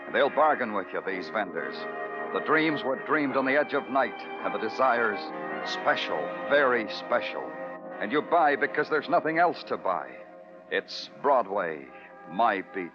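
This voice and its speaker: An actor playing a brooding, world-weary New York detective, narrating a radio noir drama in a somber, poetic, deliberate way. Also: male voice, medium pitch, very animated. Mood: somber, bitter. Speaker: narrator and lead character. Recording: dull vintage radio recording, loud music.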